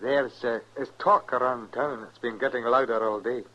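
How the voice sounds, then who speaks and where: A man's voice: mid-range voice, steady tone, moderate pace, fairly bright tone, lightly acted. An actor playing an earnest, worried frontier townsman in a radio western, with a folksy, hesitant delivery. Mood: hesitant, concerned. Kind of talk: old-time radio western acting. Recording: muffled vintage radio recording.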